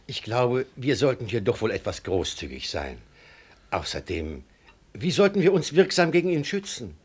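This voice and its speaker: An actor playing a reasonable, pleading company official in a radio play, with a husky voice. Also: male voice, mid-range voice, big pitch swings, moderate pace. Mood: reasoning, exasperated. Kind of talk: German radio play acting.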